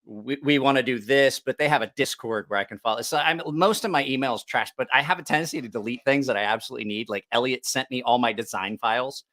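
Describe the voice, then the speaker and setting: A man's voice: medium pitch, fast talker, few pauses, sharp tone. A man hosting a casual livestream, rambling and repeating himself, with plenty of swearing. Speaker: YouTube knife channel host.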